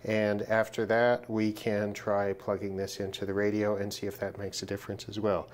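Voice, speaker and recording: A man's voice: lowish voice, completely flat delivery, normal speed, slight background noise. A man presenting an unscripted hands-on demonstration in a casual, matter-of-fact way, thinking aloud as he goes. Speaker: presenter of a radio gear test video. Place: indoors at a work desk.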